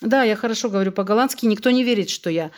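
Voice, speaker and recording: A woman around sixty, originally from Russia, talks as a podcast guest. She is frank and animated and speaks openly in an easygoing conversational way. She often acts out dialogue while telling anecdotes. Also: mid-range voice, racing. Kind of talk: candid conversational storytelling.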